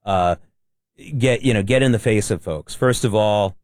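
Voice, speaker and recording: A man's voice: on the low side, normal speed, darkish tone, dry recording, clean studio sound. A man co-hosting a talk radio show, blunt and emphatic. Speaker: radio co-host. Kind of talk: casual talk radio chat.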